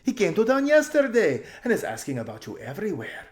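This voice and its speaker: A flighty young noblewoman played by a man in a storybook, pitched high and swooping widely. She gushes and drawls, giggly and wrapped up in herself. The tone is breathy and lazily grand. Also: wildly swooping pitch, brisk, roomy sound. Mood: giggly, amused. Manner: gushing, drawling, calls everyone darling.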